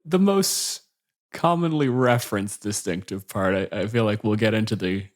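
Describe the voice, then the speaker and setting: A man's voice: fairly low pitch, normal speed. A man co-hosting a podcast in casual discussion, with a blunt, wry and sweary way of talking. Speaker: podcast co-host.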